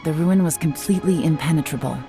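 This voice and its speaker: A woman playing a shrewd, composed noblewoman investigator in a video game, measured and authoritative. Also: sits low, over music. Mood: cool, analytical. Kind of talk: video game character acting.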